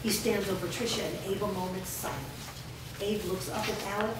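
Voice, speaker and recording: A woman narrates scripted stage directions at a staged reading. Her voice has a medium pitch and comes from far off in a very echoey, noisy room. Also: steady tone, fairly quick. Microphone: distant microphone.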